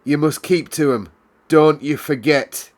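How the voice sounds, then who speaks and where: A man's voice: on the high side, a little slow, pitched above the performer's own voice, lightly acted. A man voicing a curt, bossy English housekeeper woman in a storybook reading. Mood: brusque, bossy. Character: brusque, unceremonious middle-aged English housekeeper woman.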